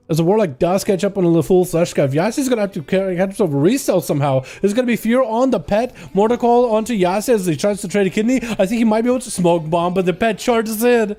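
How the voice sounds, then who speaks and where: A young man with a slight Russian accent, casting live game duels with fast, excitable play-by-play. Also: sits high. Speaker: streamer and game commentator. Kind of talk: live esports commentary.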